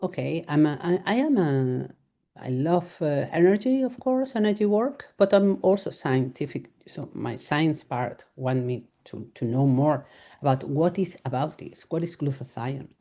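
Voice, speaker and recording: Spanish-accented woman, low mellow voice. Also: animated, unhurried. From Spain. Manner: hesitant, many fillers and restarts, laughs lightly. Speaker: veterinarian giving a lecture. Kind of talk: live lecture.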